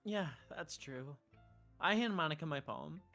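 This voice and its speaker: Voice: mid-range voice, animated, a little slow, vocal fry, bright, forceful tone. An androgynous-sounding game streamer with a middle-range voice, reading visual novel lines aloud between casual remarks. The delivery is wisecracking and freely profane, with faint music behind it. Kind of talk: casual gameplay banter mixed with reading dialogue aloud.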